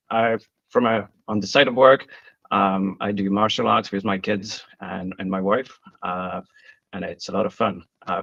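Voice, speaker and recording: A man from Belgium speaks as a guest on a live show, pausing often with fillers. His low voice is gravelly, and the audio is dry and a little dull and lo-fi. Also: a bit bright. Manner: hesitant, many fillers. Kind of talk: interview answers, casual.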